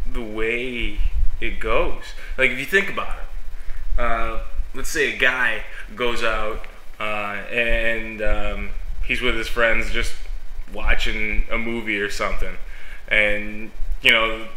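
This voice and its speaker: Bright-voiced young man with a cold. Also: fairly low pitch, a little hiss or hum. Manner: rambling, many fillers, laughs at himself. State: stuffy from a cold, sniffling. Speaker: youth pastor. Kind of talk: casual vlog musing. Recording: echoey room.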